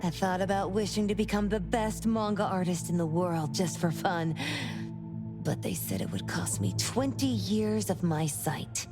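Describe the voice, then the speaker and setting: A reclusive woman manga artist from a video game. She talks in a dramatic, exclamatory way, excitable and quick to mock herself. Music plays clearly behind her. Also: on the low side. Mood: animated storytelling.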